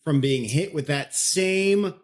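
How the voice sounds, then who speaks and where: A man's voice: fairly high pitch, slow pace. Man in his forties chatting casually. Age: 40s. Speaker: podcast co-host. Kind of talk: casual chat. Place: home room, on a group video call.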